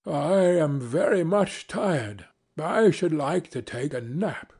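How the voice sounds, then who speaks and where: A man's voice: on the high side, expressive, slow pace, darkish tone, breathy edge, exaggerated voice. A man voicing a friendly, hungry male dog in a storybook, with a plain, easygoing manner. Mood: weary.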